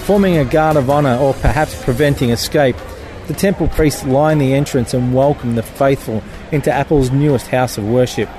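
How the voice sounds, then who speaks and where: A man's voice: medium pitch, moderate pace. Wry man reading satire over music. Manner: wry, mock-biblical delivery. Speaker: columnist reading his piece. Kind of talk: satirical scripted narration. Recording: music bed under the voice.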